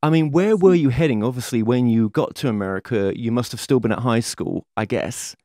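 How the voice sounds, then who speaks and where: A man's voice: medium pitch, a little fast, dark tone, dry recording, clean studio sound. A man hosting an interview, asking long rambling questions padded with fillers. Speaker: podcast host, interviewer. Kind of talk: conversational interview.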